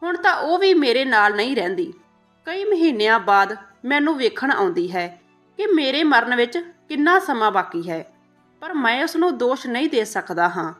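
A frail, bedridden old man from a moral tale, voiced by a woman storyteller. The delivery is high and very melodic, grave and resigned, with sorrow in it. Faint music sits behind. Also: very animated, moderate pace, a bit bright. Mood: sad resigned.

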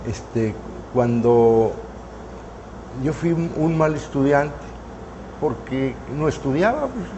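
Slow-talking older man. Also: mid-range voice, dark tone, a little hiss or hum, poor audio. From northern Mexico. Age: 70s. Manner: unhurried, hesitant, many fillers and restarts. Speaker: painter interviewee. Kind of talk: relaxed reminiscing interview. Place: artist's studio, indoors. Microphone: lapel mic.

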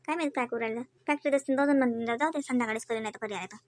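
A woman's voice: a little high, a little mellow, no echo, close to an everyday voice. A woman voicing chatty, homely village women in a family cartoon, with a rural Varhadi Marathi accent and a gossipy, colloquial manner. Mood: muttering. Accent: Varhadi Marathi, rural Vidarbha. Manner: gossipy, colloquial, drawn-out kinship exclamations.

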